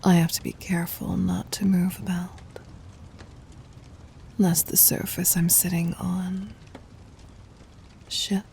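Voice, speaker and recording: A woman playing a tender, possessive demon queen in an intimate ASMR roleplay, speaking in a soft breathy whisper with regal, formal endearments. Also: fairly low pitch, little pitch movement, slow pace, pauses often, a little hiss or hum. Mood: tender, seductive.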